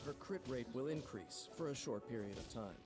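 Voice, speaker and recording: Low-voiced man narrating over prominent music. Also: lively intonation, moderate pace. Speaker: video narrator. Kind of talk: scripted promotional game narration. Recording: music playing behind the voice.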